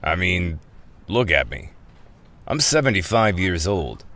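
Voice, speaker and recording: A world-weary crook in his seventies in an audio play. His voice sits low and he takes his time, hesitating and wryly questioning in plain street slang. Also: sing-song, measured pace, fairly bright tone, full character voice. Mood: self-deprecating, puzzled. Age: 70s. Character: world-weary 75-year-old male ex-convict thief, folksy, skeptical. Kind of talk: audio drama acting.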